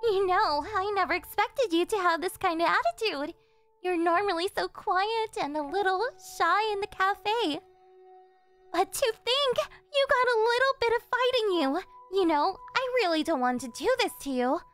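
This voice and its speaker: Yandere girlfriend, very high voice, sugary and controlling, obsessive captor, ASMR roleplay over music. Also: lively intonation, normal speed, fairly bright tone. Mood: wounded, feigned sweetness. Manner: cloying, coaxing, with breathy giggles and gasps. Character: possessive, obsessive young yandere girlfriend, sweetly menacing captor.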